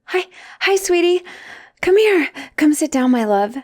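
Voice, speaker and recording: A woman's voice: sits high, very animated, unhurried. A woman playing an obsessive, lovestruck asylum patient in a dramatic roleplay audio, theatrical and doting with a menacing edge. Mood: gushing, excited. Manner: theatrical, doting, swinging between gushing and hushed menace.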